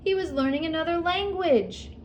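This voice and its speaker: A woman's voice: very high voice, expressive, normal speed, darkish tone, dull audio, slight background noise, pitched above the performer's own voice. A woman voicing a once-grumpy male porcupine in a storybook who now tells jokes. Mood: jokey.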